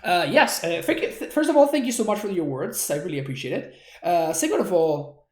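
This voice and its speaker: A man's voice: sits high, brisk, echoey room. Argentine man casually commentating his gameplay. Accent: Argentine Spanish. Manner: relaxed, chatty, reads out and thanks viewers' chat messages. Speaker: streamer.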